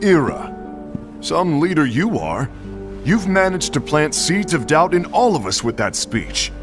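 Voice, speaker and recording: A snappy hotheaded warrior, sneers at everyone, music behind. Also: male voice, on the high side, very animated, measured pace. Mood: scornful. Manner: blunt, scornful. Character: brash hot-tempered male warrior leader. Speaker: voice actor in a game cutscene. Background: game music.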